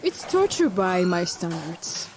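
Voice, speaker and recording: A woman's voice: lowish voice, very animated, takes it slowly, noisy background. An actress playing a cold, sardonic female hospital doctor who talks down to people with sweet pet names, in an audio drama. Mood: silky, condescending. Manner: honeyed, condescending, calls people dear and darling. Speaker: attending doctor.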